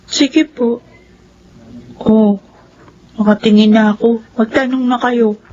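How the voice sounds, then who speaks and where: An actress playing a meek, earnest young daughter in a Filipino radio drama, respectful and pleading. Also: female voice, fairly high pitch, steady tone, slow pace. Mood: meek, guarded. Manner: soft-hearted, pleading, respectful. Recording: dull lo-fi radio sound.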